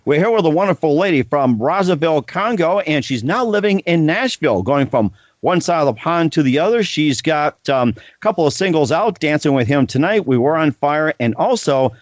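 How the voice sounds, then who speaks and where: A man's voice: on the high side, expressive, normal speed, few pauses, no room sound, highs cut off. A man hosting his own podcast, reading sponsor spots and introducing a guest with many ums, uhs and restarts. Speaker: podcast host. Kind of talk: casual interview and self-read sponsor spots.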